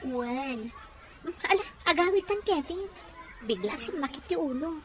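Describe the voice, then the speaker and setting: High-voiced evasive young woman in radio drama. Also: lively intonation, unhurried, a bit bright, slight background noise. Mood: flustered, excusing. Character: evasive young woman juggling two suitors.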